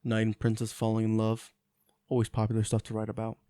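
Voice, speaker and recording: A man plays a reserved knight in a romantic fantasy audio drama. He is courteous and restrained, with a smooth, fairly low voice that stays flat and controlled. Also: fast talker, no echo, clean studio sound, lightly acted. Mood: guarded. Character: reserved, dutiful male knight in love with princess.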